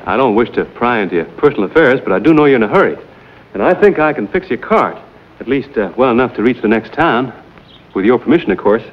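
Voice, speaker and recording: An actor playing an easygoing frontier man who talks in a dry, hesitant drawl with many pauses in a vintage drama. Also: medium pitch, lively intonation, natural voice. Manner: hesitant, drawling, full of uhs. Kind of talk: vintage television drama acting. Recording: dull lo-fi old soundtrack, faint hiss.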